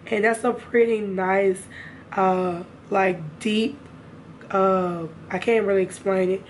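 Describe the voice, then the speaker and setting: A young woman with a raspy, hoarse voice, chatting casually to her viewers about makeup in a room at home. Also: mid-range voice, fairly even intonation, slow, slight background noise, rough recording. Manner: chatty, addresses viewers directly. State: hoarse from a sinus infection. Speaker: beauty vlogger. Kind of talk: casual makeup haul chat.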